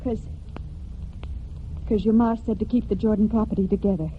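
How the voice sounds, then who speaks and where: A woman's voice: mid-range voice, fairly even intonation, normal speed, natural voice. An actress playing an earnest, strong-willed young country woman in love, in 1940s radio drama with plain rural speech and hesitations. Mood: insistent. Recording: tinny, noisy 1949 radio recording.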